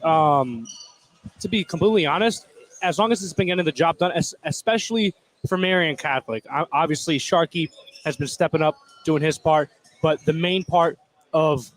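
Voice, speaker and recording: A man giving unscripted halftime sports analysis in a hesitant, halting way. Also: on the high side, slight background noise, rough recording.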